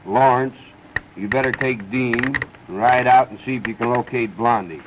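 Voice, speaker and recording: An actor playing a commanding boss giving orders in a 1930s film with a noisy soundtrack. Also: male voice, medium pitch, normal speed, close to an everyday voice.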